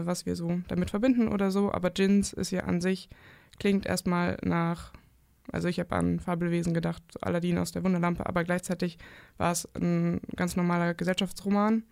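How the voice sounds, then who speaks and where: Woman, mid-twenties, casual and creaky, dry studio sound. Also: on the low side, a little mellow, dry recording. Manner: chatty, self-deprecating, many fillers. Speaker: new podcast co-host, student. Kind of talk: casual chat.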